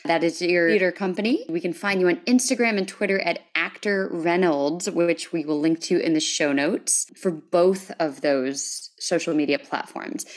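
A woman with an American accent hosting a casual podcast in an enthusiastic, appreciative manner. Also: fairly low pitch. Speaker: podcast host.

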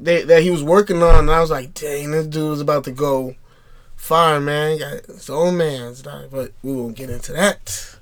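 A man co-hosting a casual podcast, hoarse because he is still losing his voice, talking laid-back slang. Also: on the high side, fairly even intonation, moderate pace. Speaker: podcast co-host. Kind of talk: casual chat.

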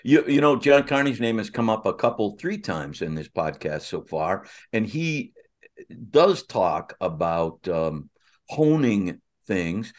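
A man co-hosting a conversational interview, speaking haltingly and repeating himself as he builds up to a question. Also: on the low side, very animated. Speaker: podcast co-host.

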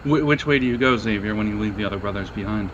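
A man's voice: on the low side, fast talker, highs cut off, noisy background. A man running a tabletop role-playing game, improvising narration in a casual, conversational way and reacting to his players. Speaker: game master.

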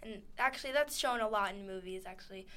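A woman's voice: medium pitch, quick pace, pushed and bright. A teenage girl co-hosting a casual radio chat, rambling, giggling and acting out characters as she recaps scenes. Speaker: co-host. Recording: radio studio.

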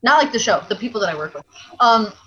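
A woman's voice: fairly high pitch, expressive, fast talker, pushed and bright, dull audio, slight background noise. A woman comedian co-hosting a podcast, frank and joking, a little tipsy. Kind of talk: casual podcast chat.

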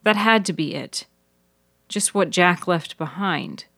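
A woman reading scripted literary narration in a measured, deliberate manner, as narrator of a serial fiction podcast. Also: lowish voice, expressive, creaky, fairly bright tone, no room sound, clean studio sound.

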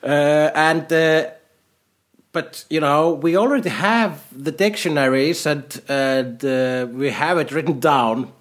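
Deadpan Icelandic man. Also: fairly high pitch. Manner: deadpan dark humor, halting with fillers. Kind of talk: casual chat.

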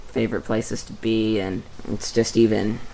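A man's voice: on the low side, flat delivery, moderate pace, dark tone, slight background noise, rough recording. A teenage young man, chatting casually and a little hesitantly as an interview guest. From East Tennessee, United States. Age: teenager, about 14. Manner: hesitant, informal, youthful phrasing. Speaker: interview guest, young bluegrass mandolin player.

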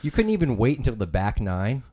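A man's voice: mid-range voice, very animated, dark tone. A man co-hosting a podcast who banters and makes puns in casual chat. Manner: jokey, punning, quick banter. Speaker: podcast co-host.

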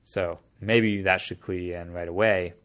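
A man's voice: low-pitched, steady tone, moderate pace, a bit bright. A man in casual conversation, explaining a topic at length in a thoughtful way, often restarting his sentences.

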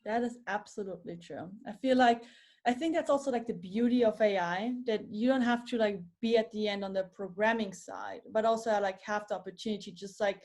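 A woman's voice: medium pitch, a little fast, darkish tone. Young woman interviewer, casual filler-heavy talk.

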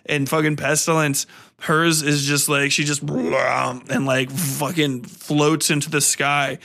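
A man hosting a podcast, giving a casual, rambling, slangy film review full of swearing and animated, dramatic storytelling. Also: fairly high pitch, takes it slowly, vocal fry. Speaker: podcast host.

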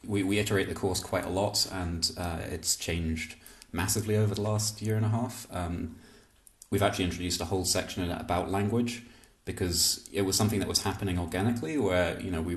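A man hosting an interview podcast, relaxed, with dry humor and many fillers. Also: deep voice, fairly quick, roomy sound. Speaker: podcast host. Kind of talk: interview conversation.

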